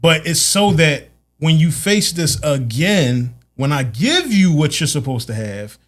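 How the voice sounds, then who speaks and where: An American man in his late thirties hosting a casual podcast, talking in a halting, repetitive way peppered with "like" and "man". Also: on the high side, sing-song, fairly bright tone. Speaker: podcast host. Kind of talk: casual conversational interview.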